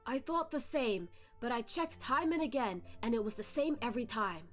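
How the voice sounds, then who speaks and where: A woman voicing a cautious, learned old male physician from a story, speaking in a measured, formal, explanatory way. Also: fairly high pitch, lively intonation, close to an everyday voice. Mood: calm, firm. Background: music behind the voice.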